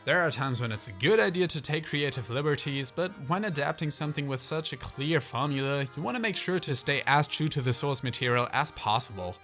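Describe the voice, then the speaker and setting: A man's voice: a little high, lively intonation, a little fast, few pauses, sharp tone. A man narrating a scripted video essay as its host, opinionated and casually phrased. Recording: music behind the voice. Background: background music.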